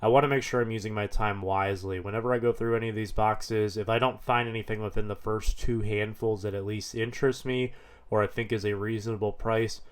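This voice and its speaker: A man with a head cold, slightly hoarse, narrating a vlog in a relaxed, matter-of-fact way. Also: on the low side, fairly quick. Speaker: card dealer vlogger.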